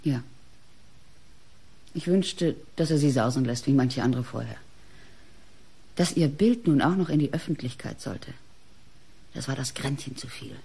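An actress playing an artist's proud, bitter wife, indignant and defensive, in a radio crime play. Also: female voice, a bass voice, measured pace, vocal fry, pauses often, mellow, slightly rough, exaggerated voice. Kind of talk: German radio play acting.

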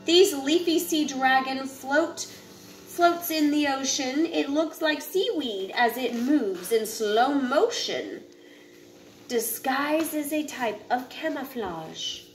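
A woman in her thirties reading a children's picture book aloud in a deliberate, instructive way, now and then stumbling over a word. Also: high-pitched, lively intonation, faint music behind. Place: home living area with kitchen, indoors. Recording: noisy home recording, room ambience.

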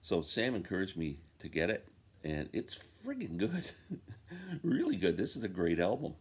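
A man's voice: low voice, huge pitch swings, moderate pace, slightly rough. A man in his fifties, sitting at home and casually chatting about records he bought, rambling and enthusiastic. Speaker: vinyl collector vlogger. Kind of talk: casual record show-and-tell. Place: home room with framed posters, indoors.

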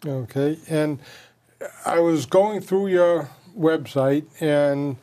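A man's voice: on the high side, slow pace, dark tone. An older man hosting a local TV interview show, asking questions in a folksy, conversational way from a studio set. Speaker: TV show co-host. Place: dark studio set, seated at table.

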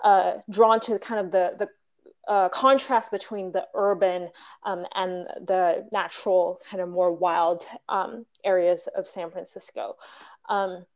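A young adult woman from Hong Kong presenting her paintings on a video call, measured and full of "um" and "uh". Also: on the low side, steady tone. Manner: measured, with frequent "um" and "uh". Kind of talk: online slide presentation. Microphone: laptop webcam video call.

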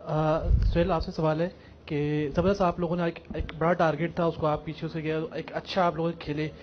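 Dull audio with faint room noise carries a man's fairly high voice. He is a reporter putting a question at a press conference. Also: flat delivery, moderate pace.

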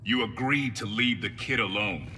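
A man's voice: lowish voice, moderate pace, a little hiss or hum. An actor playing a cornered male criminal in a video game, bargaining tensely to protect his nephew. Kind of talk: video game cutscene acting. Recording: game audio with long reverb and loud score.

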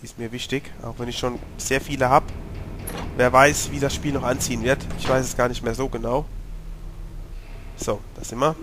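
A German-speaking man with a cold, slightly hoarse, giving chatty commentary while playing a horror game and talking to his character. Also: mid-range voice, level tone, normal speed, long pauses, noisy background. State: has a cold, clearing his throat. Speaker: let's play gamer. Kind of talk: casual gameplay commentary.

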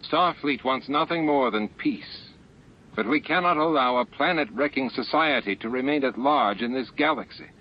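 Man doing a grave, raised-pitch space admiral. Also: moderate pace, a little hiss or hum, lightly acted. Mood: earnest. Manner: firm, earnest. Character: grave, formal male Starfleet admiral. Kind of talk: audiobook character dialogue. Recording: muffled lo-fi audiobook with music.

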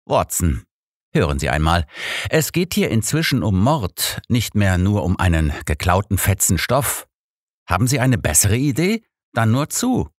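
A man's voice: on the low side, sing-song, unhurried, dry recording, clean studio sound. A man voicing a confident, cunning old detective with an assured, persuasive manner in an audiobook. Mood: insistent. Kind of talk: audiobook character dialogue.

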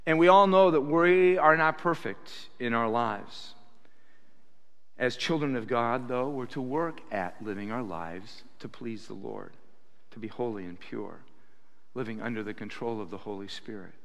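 An older man delivers a sermon from a church pulpit into a stand mic. His voice sits at a middle pitch, and his delivery is animated, swinging from quiet remarks to loud emphatic quotes. The room behind him rings with a long, hall-like reverb. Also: measured pace, vocal fry. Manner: animated preaching with sudden loud acted quotes. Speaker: pastor. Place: church sanctuary stage at a pulpit.

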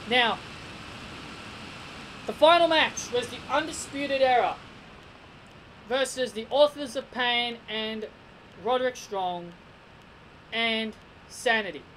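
A young man giving a casual wrestling review from his bedroom, excitable and repeating himself with stammering restarts, recorded on a desk webcam. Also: very high-pitched. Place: small bedroom. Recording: noisy home webcam recording.

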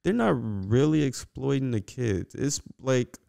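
A man's voice: mid-range voice, sing-song, a little slow, gravelly, warm tone. A young man co-hosting a podcast in casual, slangy conversation, repeating himself as he makes his case, on a close microphone. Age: young adult. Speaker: podcast co-host. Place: small indoor podcast room. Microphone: close podcast microphone on boom arm.

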